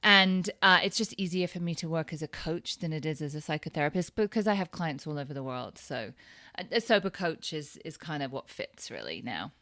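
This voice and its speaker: A woman's voice: low voice, animated, brisk, bright, forceful tone, no echo, studio quality. A woman in her fifties with an English accent, chatting casually and effusively as an interview guest. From East Anglia, England. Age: 50s. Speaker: interview guest, sober coach. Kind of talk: casual chat.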